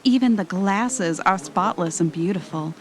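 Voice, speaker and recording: A woman playing a fond, careful wife on vacation in an audio drama, chatty and gently chiding. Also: fairly low pitch, a little slow, close to an everyday voice. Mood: presenting. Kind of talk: audio drama acting, conversational. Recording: faint background noise.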